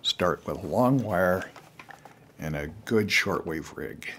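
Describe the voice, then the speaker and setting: An older man giving casual, unscripted how-to advice to beginners as a hobbyist, in a halting, rambling way with frequent pauses. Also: sits low, lively intonation, fairly bright tone, a little hiss or hum.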